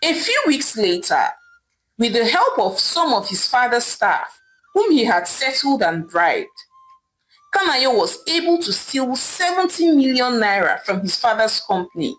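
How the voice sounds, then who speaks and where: A woman's voice: fairly high pitch, sing-song, a little slow, fairly bright tone, a little hiss or hum, poor audio. A woman with a Nigerian accent narrating a scripted story as a storyteller.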